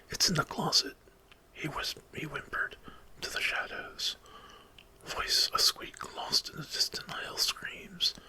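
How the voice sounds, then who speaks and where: A man narrating a dramatic story in a hushed, breathy whisper, in ASMR style. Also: a little high, rough recording. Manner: slow, dramatic, hushed reading.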